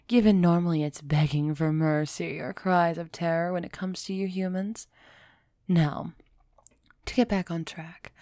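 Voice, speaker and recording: An aloof female vampire of noble bearing, acted by a woman for an audio roleplay. Her voice sits fairly low and she takes her time over every phrase. The sound is very dry and intimate, with a haughty, musing manner that hints at a softening heart. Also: creaky, no room sound. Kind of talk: scripted audio roleplay acting, close and soft.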